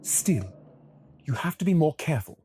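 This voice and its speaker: Exasperated, formal police inspector, swooping intonation. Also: male voice, fairly high pitch, sing-song, normal speed, dark tone, slight background noise. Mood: cautious, earnest. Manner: formal, wordy, hesitant, imploring. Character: earnest, exasperated, law-abiding Victorian police inspector. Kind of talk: audio drama acting.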